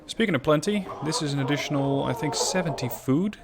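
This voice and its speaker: A man's voice: on the high side, fairly quick. A man giving casual, explanatory let's play commentary, thinking aloud as he goes. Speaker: gaming YouTuber. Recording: clear game noise behind commentary. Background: video game sounds.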